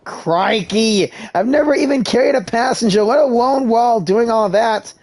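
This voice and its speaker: A man's voice: very high voice, normal speed, darkish tone. A man doing playful live voice-over for a video game, theatrical and exclamatory. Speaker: gaming video creator voicing over game dialogue.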